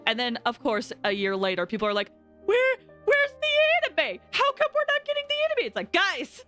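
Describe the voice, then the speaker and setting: A woman's voice: very high-pitched, wildly swooping pitch, a little fast, pushed and bright. A young woman chatting casually and effusively as a game livestreamer, often exclaiming. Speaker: game streamer. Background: game music.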